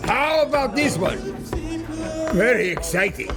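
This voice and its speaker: An actor playing an excitable, bumbling, boastful ambassador in an audio comedy, grandiose and easily flustered. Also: male voice, extremely high pitch, a little slow, vocal fry, over loud music, full character voice. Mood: grandly eager. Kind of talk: audio drama comedy acting.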